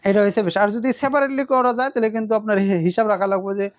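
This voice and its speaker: A woman's voice: medium pitch, racing, no room sound, studio quality. A woman presents a casual unboxing demonstration, explaining informally and repeating her points.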